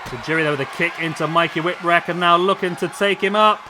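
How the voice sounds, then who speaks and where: A man commentating on a wrestling video game, losing his voice, chatty and excitable as he calls the action. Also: fairly high pitch, fast talker. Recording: loud game crowd noise behind. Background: video game crowd and arena sound.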